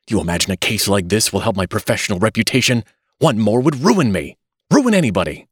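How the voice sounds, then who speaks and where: A man voicing an irritable old family doctor in an audiobook, with a sharp, cutting, scolding manner. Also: sits low, animated, measured pace, no echo, clean studio sound, full character voice. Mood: indignant, cutting. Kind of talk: audiobook character acting.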